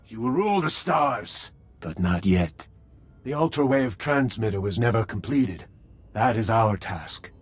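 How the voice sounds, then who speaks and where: A man playing a devoted alien cult warrior in a video game, grave and formal, with a husky voice. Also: lowish voice, animated, a little slow. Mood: solemn, fervent.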